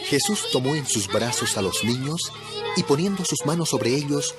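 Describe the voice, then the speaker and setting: Guy narrating audio bible story, measured delivery, mid pitch, clear music bed behind him. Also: expressive. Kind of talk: scripted dramatized Bible narration.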